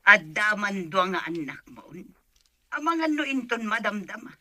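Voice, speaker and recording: A woman's voice: medium pitch, big pitch swings, moderate pace, sharp tone, no echo. Scolding older Ilocano mother, piercing voice. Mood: reproachful. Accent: Filipino, Ilocano. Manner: scolding, emphatic. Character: stern older mother scolding her grown daughter. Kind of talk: Ilocano radio drama acting.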